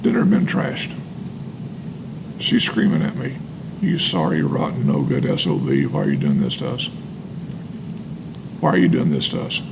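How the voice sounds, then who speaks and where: Gravelly, animated American man, emphatic. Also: rumbling low, moderate pace, pauses often, background noise. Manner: emphatic, repeats phrases for weight. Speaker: co-host reading and commenting on a recovery book. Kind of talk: reading aloud with personal testimony.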